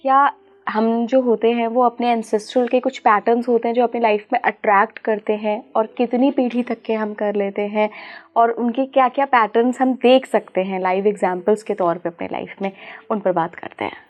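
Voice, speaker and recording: A woman's voice: a little high, steady tone, normal speed, lo-fi sound. Woman host posing interview questions. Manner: asks questions and mixes in English words.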